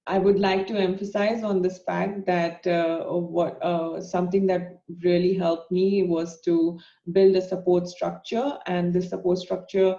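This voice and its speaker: A woman presenting to a panel in a flat, gravelly voice with a mellow tone. She pauses often and hesitates as she speaks. The sound is roomy and a little dull. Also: fairly low pitch. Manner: hesitant, many filler pauses. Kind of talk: presentation talk.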